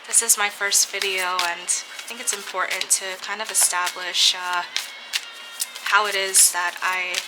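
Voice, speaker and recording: A woman slowly and dreamily reading tarot for an online audience, sounding a little stuffy from a cold, with soft laughs and pauses. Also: mid-range voice, heavy background noise. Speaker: tarot reader. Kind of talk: spiritual tarot reading for camera.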